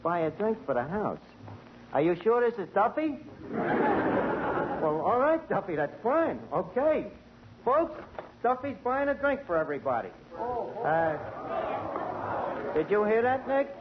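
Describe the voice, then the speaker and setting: A man's voice: high-pitched, takes it slowly, pauses often. A man playing a wisecracking tavern manager in a 1940s radio comedy, full of malapropisms. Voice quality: lively, wisecracking, malaprop-prone. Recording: muffled, hissy 1940 radio recording.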